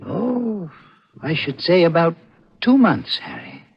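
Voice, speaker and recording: A man's voice: on the high side, huge pitch swings, takes it slowly, creaky, husky, faint music behind, exaggerated voice. An actor playing a proud, courteous man in his seventies, a diamond cutter with an ominous streak, savouring his phrases theatrically in 1940s radio thriller style. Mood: musing, proud. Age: 70s. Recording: muffled, noisy vintage radio recording.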